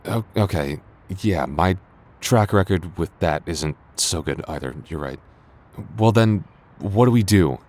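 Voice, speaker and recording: A man playing a flustered, defensive young man secretly in love with his childhood friend, speaking haltingly with stammers in a romantic audio roleplay. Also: deep voice, lively intonation, a little mellow. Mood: conceding, weary.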